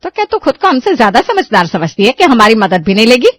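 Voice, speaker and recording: Woman doing a naggy middle-aged saas in a cartoon, high voice, sarcastic and chiding, dry sound. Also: sing-song, fast talker, a bit bright, dry recording. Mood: huffy. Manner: chiding, sarcastic rhetorical questions. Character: nagging, sarcastic middle-aged mother-in-law and aunt. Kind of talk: Hindi cartoon moral story voice acting.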